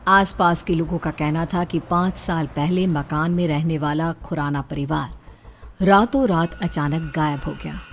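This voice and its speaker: A woman reading scripted narration for a horror cartoon story. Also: low-pitched.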